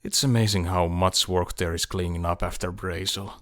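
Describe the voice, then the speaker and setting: A man playing a devoted, determined lover in audiobook dialogue, speaking in a hushed, earnest, measured way. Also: low voice, fairly even intonation, no echo, studio quality. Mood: wry.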